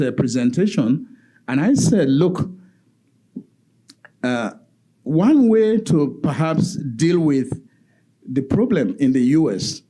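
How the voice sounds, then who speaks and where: A man talks on a conference panel, stopping and restarting his sentences with many fillers. His voice sits a little high, yet it sounds soft and mellow. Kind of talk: academic panel talk. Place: conference hall.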